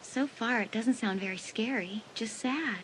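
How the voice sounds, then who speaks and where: A woman's voice: on the high side, a little slow, a little hiss or hum, lightly acted, poor audio. A woman playing a nervous, earnest teenage girl in an audiobook dramatisation, speaking hesitantly. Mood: uneasy, curious.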